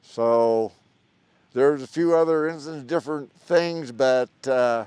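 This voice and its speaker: A man in his seventies, a veteran, telling his own story in a plainspoken, rambling way with pauses and fillers. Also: medium pitch, a little mellow, clear, resonant tone, dry recording. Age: 70s. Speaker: veteran interviewee. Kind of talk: personal storytelling. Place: outdoor park event.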